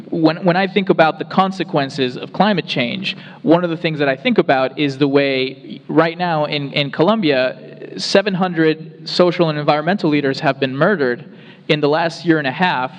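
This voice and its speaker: A man from the Rio Grande Valley in Texas, a researcher speaking on a town hall panel in an earnest, explanatory way with many restarts. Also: fairly high pitch. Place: town hall meeting room. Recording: reverberant hall.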